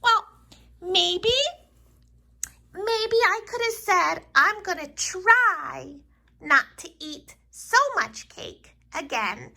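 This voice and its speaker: Little girl puppet for kids' show: extremely high, very bright, childlike, earnest. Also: animated, extremely slow, gravelly, full character voice. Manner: childlike, gushing, hesitant.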